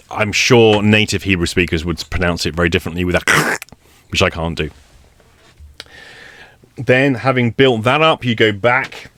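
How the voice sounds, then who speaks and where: Wry, low-voiced man teaching meditation. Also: fairly bright tone. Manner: wry, conversational, explains step by step. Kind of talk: relaxed spoken instruction.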